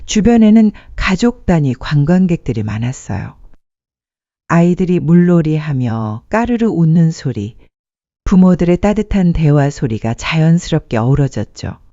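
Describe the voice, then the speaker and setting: A woman's voice: sits low, sing-song, normal speed, dark tone, no echo, lo-fi sound. A woman narrating a sentimental story from a script, as a storyteller addressing listeners.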